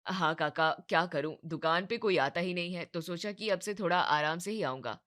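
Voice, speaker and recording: Woman voicing a weary, hesitant young shopkeeper man, low-pitched. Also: moderate pace, fairly bright tone, lightly acted. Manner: hesitant, resigned. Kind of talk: Hindi animated moral story dialogue.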